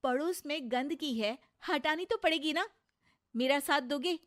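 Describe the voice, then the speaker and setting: A woman's voice: high-pitched, a little slow, pitched above the performer's own voice. A woman voicing a clever young daughter-in-law in a cartoon moral story, assertive, righteous and slyly pointed.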